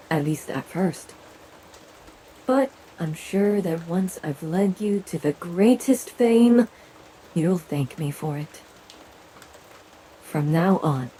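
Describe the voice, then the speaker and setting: Woman acting a low, slow, domineering female boss. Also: dark tone, full character voice, low-quality recording. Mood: menacing, commanding. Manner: stern, deliberate, menacing. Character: controlling, bitter female talent handler demanding obedience. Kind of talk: dramatic audio drama monologue. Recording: rain and noise behind voice.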